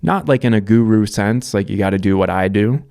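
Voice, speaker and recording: Canadian man, 30s, low smooth voice, relaxed reflective storyteller, close dry mic. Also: a little fast, no echo. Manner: reflective, loose, lots of fillers and restarts. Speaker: vlogger. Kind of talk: casual life-story monologue.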